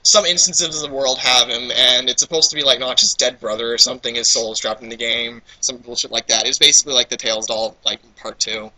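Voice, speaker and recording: A man's voice: medium pitch, fairly even intonation, fairly quick, hardly any pauses, pushed and bright, poor audio. A young man giving a casual, irreverent comedic reading, breaking off into banter and swearing. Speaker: reader and commentator.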